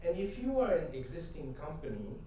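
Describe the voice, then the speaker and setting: A middle-aged man tells stories from a conference stage, asking the crowd rhetorical questions as he goes. His voice is mellow and dark, and it carries through a very echoey hall. He sounds a little distant from the mic, with faint noise underneath. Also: on the high side, brisk. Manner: animated storytelling, gestures, rhetorical questions. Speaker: conference speaker. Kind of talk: stage keynote talk with anecdotes. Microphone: headset mic.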